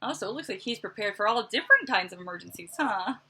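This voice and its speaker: A woman reading a picture book aloud to children like a teacher, with expressive emphasis and chatty asides. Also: mid-range voice, fast, a little hiss or hum. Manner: expressive, dramatic emphasis, conversational asides to young listeners.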